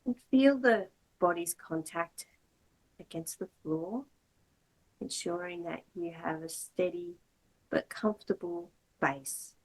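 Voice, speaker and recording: A woman yoga teacher giving slow, measured guided meditation instructions in short, gentle phrases with long pauses. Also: on the low side, poor audio.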